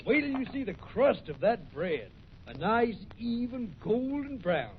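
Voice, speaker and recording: An actor playing a grouchy, excitable prospector in an old-time radio western, with a folksy rustic drawl and blustering, indignant manner. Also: male voice, very high-pitched, measured pace, soft background music, exaggerated voice. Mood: easygoing. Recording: muffled, noisy old radio recording.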